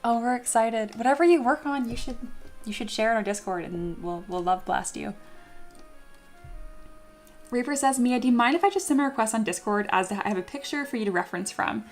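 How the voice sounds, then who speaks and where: A young woman streaming live and chatting with viewers in a bubbly, excitable way, laughing often and reading chat messages aloud. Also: on the high side, brisk, faint music behind. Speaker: streamer, 3D sculptor. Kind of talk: casual livestream chat with viewers.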